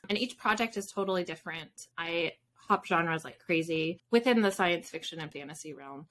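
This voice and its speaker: Female author guest, thirties, lower register, casual and chatty, faint noise on the call. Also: moderate pace, a bit bright, low-quality recording. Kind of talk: casual chat. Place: indoors in a room with bookshelves, on a video call.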